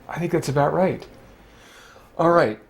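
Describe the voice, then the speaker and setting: Male live stream host, slightly high-pitched voice, loose and self-deprecating chatter. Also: a little slow, creaky, breathy edge. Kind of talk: casual live stream chat.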